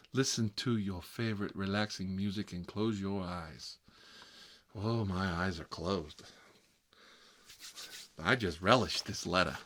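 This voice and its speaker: A man playing a fussy, gossipy bachelor uncle in an improvised comedic ASMR roleplay, rambling and confiding in a prissy, halting way. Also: sits low, a bit bright. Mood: gossipy, indignant.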